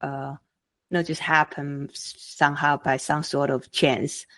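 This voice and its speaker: A woman's voice: low voice, level tone, a little slow, fairly bright tone. A woman from Taiwan, hosting a podcast interview in a chatty way with loose phrasing. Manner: chatty, loose phrasing with many "you know"s. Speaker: podcast host. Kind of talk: casual interview.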